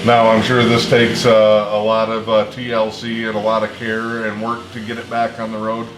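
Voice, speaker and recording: A man hosting a casual local interview, with halting, hesitant phrasing. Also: fairly low pitch, monotone, background noise. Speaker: host and interviewer. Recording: roomy echo, music underneath.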